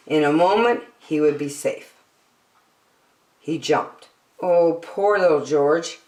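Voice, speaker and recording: A woman reading a children's picture book aloud in an expressive, dramatic storytelling style, in an echoey room. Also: fairly low pitch. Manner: dramatic, with emphatic slowed phrases.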